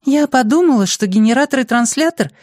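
A man's voice: very high voice, animated, brisk, darkish tone, no echo, clean studio sound, voice pushed up. A man voicing a young girl in an audiobook, inventive and tough but tentative, reasoning things out thoughtfully. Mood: earnest, reasoning.

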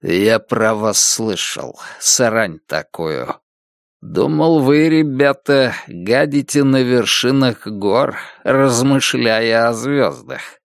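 A man voicing a crude, foul-mouthed male deep-sea posthuman in a slow, gruff drawl. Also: dry recording, studio quality. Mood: rude mocking. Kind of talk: audiobook character voice.

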